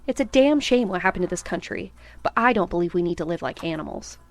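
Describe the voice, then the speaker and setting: A woman's voice: fairly low pitch, expressive, fast, soft background music. A woman playing a poised, genteel wealthy widow in an audio drama, formal and gracious with folksy turns of phrase.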